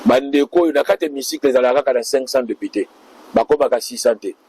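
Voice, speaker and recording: A man's voice: medium pitch, quick pace, a little mellow, breathy edge. A man answering interview questions as a party official, speaking in a speechlike way to the public.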